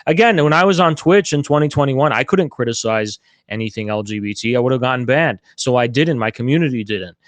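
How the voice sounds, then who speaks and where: A man's voice: medium pitch, expressive, brisk, few pauses, dry recording. A man speaking in a live online political debate, arguing emphatically and repeating himself, with some swearing. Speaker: debater leading a political group. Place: live online audio chat room.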